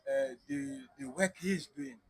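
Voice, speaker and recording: A man interpreting between two speakers, halting and restarting his phrases. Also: mid-range voice, darkish tone, slightly rough, no echo. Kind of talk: interpreting between French and English.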